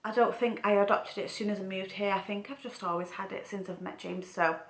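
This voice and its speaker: A woman's voice: mid-range voice, fairly even intonation, a little fast. A young woman with a Mancunian accent tinged with Scouse, chatting casually and informally in a vlog, rambling and repeating herself for emphasis. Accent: Mancunian with some Scouse. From Manchester, England. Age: young adult. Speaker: vlogger. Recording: roomy, echoey home room.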